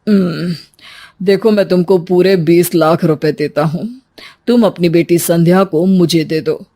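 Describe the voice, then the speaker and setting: A wealthy middle-aged gentleman from a cartoon tale, voiced by a woman. He talks in a measured, patronizing way with a calculating temper. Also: lowish voice, steady tone.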